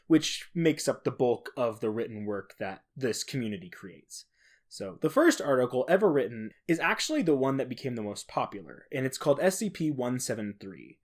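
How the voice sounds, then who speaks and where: A man co-hosting a podcast on his own, explaining a topic casually with rambling, self-deprecating asides. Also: medium pitch. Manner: chuckles at own remarks. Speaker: podcast co-host.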